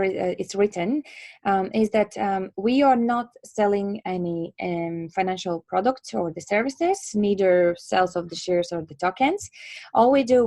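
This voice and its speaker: A woman with a Latvian accent giving an online presentation, explaining in a hesitant, halting way with many filler words. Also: medium pitch, mellow, no room sound. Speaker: presenter and trainer.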